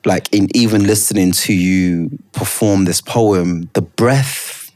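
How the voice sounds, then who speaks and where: A man's voice: sits low, slow, darkish tone. A man from Britain hosting an interview, talking in an enthusiastic, rambling way with lots of fillers. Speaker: podcast host. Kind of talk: casual interview chat.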